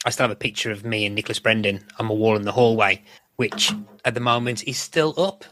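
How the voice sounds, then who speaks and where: A man's voice: on the low side, steady tone, quick pace, sharp tone, no echo. A middle-aged man, chatting casually as a podcast co-host in a rambling, self-correcting way. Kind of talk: casual chat about a TV show.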